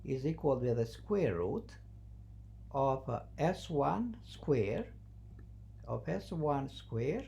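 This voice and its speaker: Math teacher, non-native English speaker, soft dark tone, halting and repetitive, working through steps, faint noisy hum. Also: medium pitch, very animated, creaky. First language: not English. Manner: halting, repetitive, thinking aloud while writing. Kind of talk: math lesson, working through a problem at the board.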